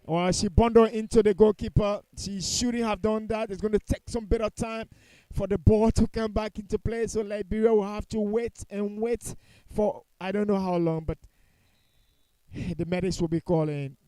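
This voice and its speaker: A man's voice: high voice, fairly even intonation, normal speed, warm tone, no room sound, rough recording. A man giving live football commentary in a critical, opinionated way, repeating points for emphasis.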